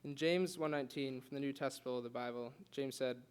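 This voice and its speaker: A man's voice: mid-range voice, fairly even intonation, fast, clean tone. A young man giving a prepared class speech, earnest, with occasional stutters and restarts. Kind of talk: prepared speech read aloud.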